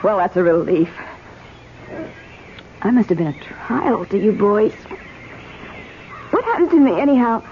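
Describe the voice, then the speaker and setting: Breezy, flippant wife, tinny recording. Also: female voice, mid-range voice, very animated, unhurried, pauses often, a little hiss or hum. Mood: relieved, chatty. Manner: chatty, irreverent. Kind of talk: old-time radio drama acting. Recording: tinny, narrow old radio recording.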